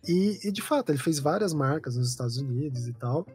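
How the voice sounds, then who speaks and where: A man's voice: a little high, lively intonation, fairly quick, a little mellow, soft background music. A man talking as a designer guest in a casual podcast chat, explaining at length with plenty of fillers and restarts. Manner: loose, rambling explanation with many fillers.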